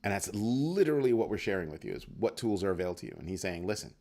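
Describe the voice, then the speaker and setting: Deep-voiced man chatting on a livestream. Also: rapid-fire. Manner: animated, playful, reacting to chat comments. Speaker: livestream host. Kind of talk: casual livestream chat with viewers.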